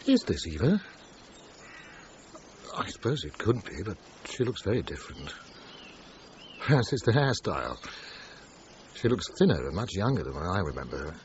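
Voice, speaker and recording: A man's voice: low voice, very animated, moderate pace, pauses often, a little mellow. An actor playing a chatty, wry man in a radio crime drama, musing aloud as he speaks. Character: chatty, wry man helping police identify a photograph. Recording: muffled, noisy radio drama recording.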